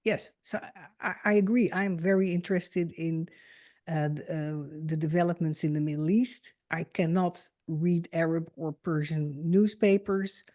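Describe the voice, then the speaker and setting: A woman's voice: lowish voice, steady tone, unhurried, dark tone. A woman hosting a podcast, politely introducing her guest and asking questions in a formal manner. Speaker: podcast host. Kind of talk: interview hosting.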